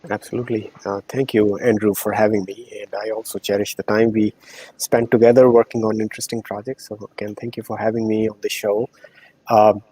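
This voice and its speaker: A man's voice: lowish voice, level tone, a little fast, warm tone, slightly rough, low-quality recording. A middle-aged man with an Indian accent, a podcast guest answering thoughtfully with frequent ums and restarts. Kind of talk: conversational interview answers.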